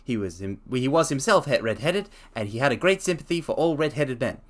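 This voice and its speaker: A man's voice: mid-range voice, sing-song, fairly quick, lightly acted. A man voicing an eager young shop assistant who talks his employer into something in a coaxing way.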